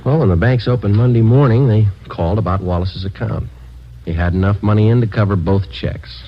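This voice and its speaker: An actor playing a terse, matter-of-fact police detective sergeant in a deadpan, clipped manner for an old radio crime drama. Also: male voice, on the low side, a little fast, natural voice. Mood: dry, businesslike. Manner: clipped, deadpan, procedural delivery. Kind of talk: 1950s radio crime drama acting and first-person narration. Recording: dull, noisy old radio broadcast recording.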